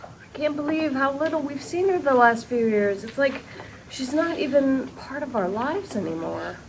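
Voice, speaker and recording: A woman's voice: high-pitched, lively intonation, normal speed. An actress playing a sentimental, insistent woman holding her friends together, in a sitcom table read. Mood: hurt, sad. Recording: clearly noisy rehearsal room recording.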